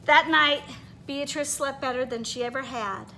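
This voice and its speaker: A woman reading a children's picture book aloud in an expressive, animated way, pausing to put questions to her listeners. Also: high-pitched, a little hiss or hum. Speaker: read-aloud storyteller.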